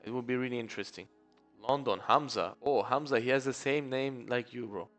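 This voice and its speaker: A man hosting a live trading stream in a relaxed, chatty way. He reads viewer comments aloud, greets them by name and often calls them "bro.". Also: medium pitch, brisk, soft background music. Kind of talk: casual live-stream chat.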